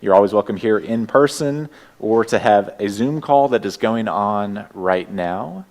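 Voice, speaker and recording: A man with a Texas accent opens a congregation's service from the sanctuary. His voice sits a touch low, and his words come gently and unhurried as he welcomes everyone. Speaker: worship leader. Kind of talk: welcoming church announcements and opening words. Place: church sanctuary.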